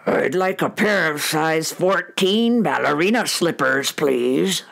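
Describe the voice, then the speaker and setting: A woman playing a gruff goblin with a gravelly voice in a children's audio drama. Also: sits low, animated, slow, fairly bright tone, heavily acted. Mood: gruff warning. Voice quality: gravelly, creaky. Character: gruff, scurrying goblin who likes horrible things.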